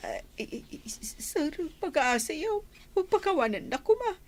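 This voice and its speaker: A woman's voice: sits high, very animated, moderate pace, a little hiss or hum. A woman with an Ilocano accent playing a frightened, pleading household maid in a radio comedy drama, with a stammering, dramatic delivery. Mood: terrified, stammering.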